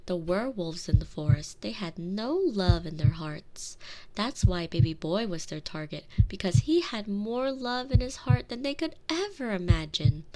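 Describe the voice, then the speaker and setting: A tender, protective girlfriend played by a woman lulls her partner in an intimate ASMR roleplay. Her medium-pitched voice is soft and soothing, slipping toward whispers. She lingers on phrases like a bedtime storyteller, close to the microphone. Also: lively intonation, normal speed, close to an everyday voice, poor audio. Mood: gentle storytelling. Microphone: close binaural microphone.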